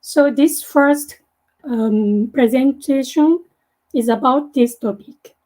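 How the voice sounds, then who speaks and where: A woman from Japan with a Japanese accent, a native Japanese speaker, giving a halting presentation over a video call with many fillers and restarts. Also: high-pitched, mellow, poor audio. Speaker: guest presenter.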